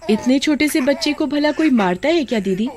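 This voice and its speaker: A woman voicing a gentle, caring young aunt in a story, earnest and tender in manner. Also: high voice, expressive, fairly quick, voice pushed up.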